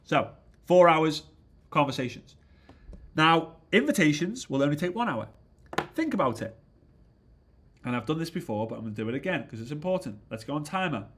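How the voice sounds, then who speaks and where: A man with a somewhat high voice coaches an audience on a business routine in an energetic, motivational way. He sounds congested and keeps checking in with "right?" as he talks. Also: moderate pace, pauses often, a little hiss or hum. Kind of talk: motivational training talk.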